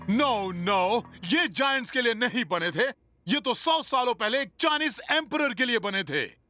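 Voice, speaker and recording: A man's voice: very high voice, animated, a little slow, bright, forceful tone, no echo, studio quality, faint music behind, heavily acted. A man in a Hindi cartoon dub playing a fussy, exasperated know-it-all who corrects others, mixing in English words. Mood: correcting. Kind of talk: Hindi-dubbed cartoon acting.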